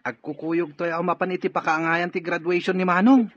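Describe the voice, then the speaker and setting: A man's voice: high-pitched, little pitch movement, brisk, a bit bright, dry recording. A man with an Ilocano Filipino accent playing an earnest, admiring younger brother in an audio drama. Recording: dull lo-fi audio with music.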